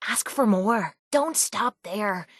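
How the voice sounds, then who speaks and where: Game companion role: young Irish mercenary woman, tough, brash and foul-mouthed, mid-pitched voice, close dry studio sound. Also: expressive, slow pace, breathy edge, no room sound, heavily acted. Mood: cocky, sardonic. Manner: blunt, cocky, slangy. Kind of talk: video game companion voice lines.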